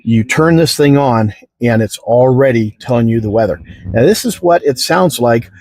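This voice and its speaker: A man's voice: medium pitch, moderate pace, darkish tone, dry recording. A middle-aged American man giving a casual, unscripted product demonstration in a folksy, rambling way. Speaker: product reviewer.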